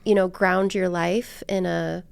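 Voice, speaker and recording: A woman with a clear but creaky voice hosts a casual conversation. She talks informally and anecdotally, in a chatty style, and the sound is close and dry. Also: on the low side, level tone, unhurried, dry recording. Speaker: podcast host.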